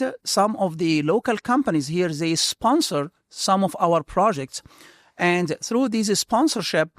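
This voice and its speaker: A middle-aged man from Egypt, a computer science professor answering interview questions in an earnest, halting way with frequent restarts. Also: high-pitched, dry recording, clean studio sound.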